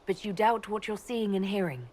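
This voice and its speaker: An actress playing a composed, dutiful elf mage leader in a video game, speaking in a measured, dry, questioning way. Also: female voice, mid-range voice, lively intonation, mellow, a little hiss or hum, lightly acted. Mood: probing, dry. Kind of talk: video game dialogue acting.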